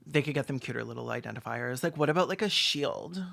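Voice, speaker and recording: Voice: mid-range voice, animated, fast, vocal fry, background noise. A video essayist giving sarcastic, mocking comedic commentary on a film in a campy way.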